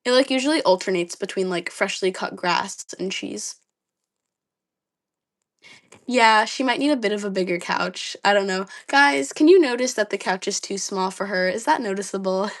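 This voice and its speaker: A woman's voice: medium pitch, fairly quick, few pauses. Casual young woman livestreamer, voice a little dry and worn, reading comments and chatting. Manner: chatty, reads comments aloud, many ums and likes. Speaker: livestream host.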